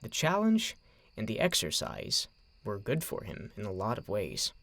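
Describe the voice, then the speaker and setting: A man narrating a scripted story in a measured, dramatic way, with deliberate phrasing. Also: lowish voice, wildly swooping pitch, gravelly, a bit bright.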